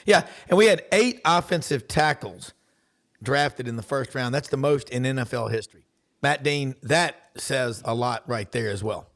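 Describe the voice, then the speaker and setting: Creaky-voiced man hosting sports talk. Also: medium pitch, moderate pace, fairly bright tone. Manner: joking, chummy. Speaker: sports talk show host.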